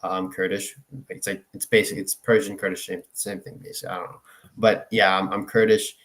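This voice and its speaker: A man's voice: deep voice, flat delivery, fairly quick, fairly bright tone. A young man from Finland, a guest in a casual chat, rambling with many fillers and swearing. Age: young adult.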